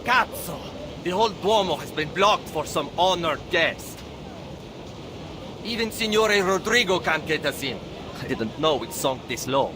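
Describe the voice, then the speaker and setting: High, excitable male guard. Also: a little slow, background noise. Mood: irritated. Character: agitated, grumbling male Italian guard. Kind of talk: game dialogue. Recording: game audio with music behind.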